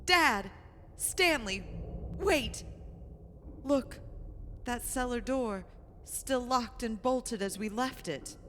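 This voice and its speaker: An actress playing a frightened, pleading daughter in an old-time radio horror drama, full of melodramatic urgency. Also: female voice, on the high side, lively intonation, drawn-out words, long pauses, fairly bright tone, in a large hall, slight background noise, heavily acted. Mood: anxious.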